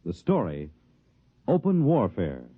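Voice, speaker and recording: Very animated American radio announcer, male. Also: fairly low pitch, slow pace, slightly rough, no echo. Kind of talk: 1950s radio announcing, scripted. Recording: muffled old radio recording.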